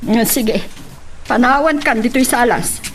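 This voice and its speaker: Worried mom questioning her grown son, fairly high voice, radio drama. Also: unhurried, background noise. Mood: concerned, probing. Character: concerned, probing mother of a grown son. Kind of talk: Ilocano radio drama acting.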